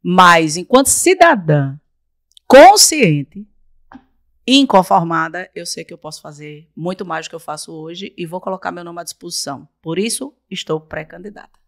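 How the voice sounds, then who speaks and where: A woman in her forties from Paraíba, Brazil, a guest on a studio podcast, talking emphatically into a desk boom mic and repeating phrases for effect. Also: animated, moderate pace, no echo. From Brazil, raised in Paraíba. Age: 40s. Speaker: guest, council candidate. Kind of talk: podcast interview. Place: small podcast studio with blue-lit backdrop. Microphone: desk mic on boom arm.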